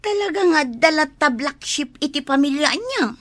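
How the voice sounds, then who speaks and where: A woman plays a gossipy Ilocano village confidante. Her voice is fairly high and recorded dry, in comedy drama style. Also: animated, normal speed, dry recording. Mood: curious, sympathetic.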